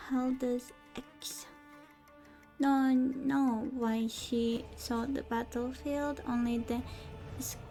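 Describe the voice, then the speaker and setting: A woman doing casual gameplay commentary, haltingly reading on-screen text aloud and stumbling over words. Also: sits high, creaky, mellow. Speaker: game streamer. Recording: game music behind. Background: video game music.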